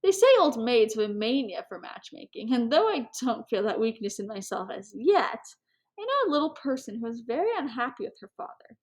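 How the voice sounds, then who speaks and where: Woman acting gushing aristocratic hostess, fairly high voice. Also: very animated, brisk. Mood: coaxing. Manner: effusive, confiding, courtly. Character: gushing aristocratic society hostess, coyly melancholy and meddlesome.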